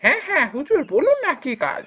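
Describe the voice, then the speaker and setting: A woman's voice: sits high, very animated, fast, fairly bright tone. A woman voicing a devoted male servant in a cartoon fairy tale, fawning and eager toward his master. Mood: eager, surprised.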